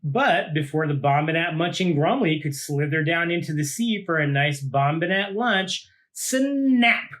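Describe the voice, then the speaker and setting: Higher-voiced man reading to kids. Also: expressive, measured pace, hardly any pauses, fairly bright tone. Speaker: teacher reading aloud to students. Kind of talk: children's picture book read-aloud with casual asides.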